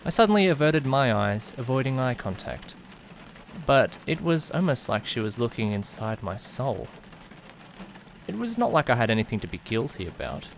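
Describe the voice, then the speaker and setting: A man's voice: mid-range voice, very animated, moderate pace, vocal fry. Man acting anxious young student narrator, noisy backdrop. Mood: uneasy wonder. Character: anxious young male student driver narrating an eerie night. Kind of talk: first-person dramatic narration, visual novel audiodrama.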